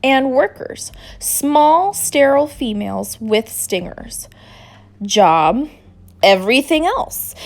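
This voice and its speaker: A woman reading a children's storybook aloud in an expressive, deliberate way, acting out the dialogue. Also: high voice. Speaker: storybook reader.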